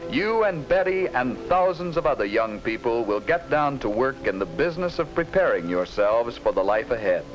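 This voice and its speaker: A man's voice: medium pitch, expressive, moderate pace, hardly any pauses, natural voice. A man reading scripted narration for a 1950s educational film. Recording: muffled 1950s film soundtrack. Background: music.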